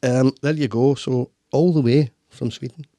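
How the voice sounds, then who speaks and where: A Scottish man hosts a relaxed podcast chat, rambling and restarting his sentences. His voice has a gravelly rasp, and the studio sound is dry and close. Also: medium pitch, moderate pace, no room sound. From Scotland. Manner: warm, rambling, talks with frequent restarts. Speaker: podcast host. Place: home studio.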